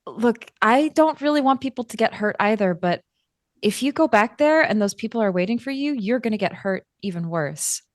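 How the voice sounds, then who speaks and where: A woman playing a caring, protective friend in a tabletop roleplay, speaking gently and haltingly with careful wording. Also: on the high side, lively intonation, dry recording, studio quality, natural voice. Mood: coaxing, protective.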